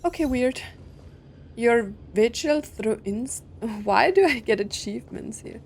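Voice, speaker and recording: A woman's voice: on the high side, animated, a little slow, darkish tone, slight background noise. A woman doing casual let's-play commentary, musing aloud in a conversational way as she plays. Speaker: gaming content creator.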